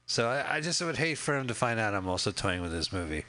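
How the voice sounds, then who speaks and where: A man's voice: on the low side, sing-song, fast, pushed and bright. A man co-hosting a podcast, chatting casually and jokingly about a film as it plays, swearing often and rambling. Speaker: podcast co-host. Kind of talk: casual movie commentary chat.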